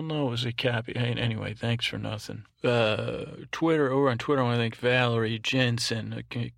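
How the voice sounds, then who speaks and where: Man, podcast host, gravelly fry, soporific monotone, meandering bedtime ramble, self-interrupting, dry close sound. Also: mid-range voice, dry recording. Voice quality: soothing, soporific voice. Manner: droning, monotone.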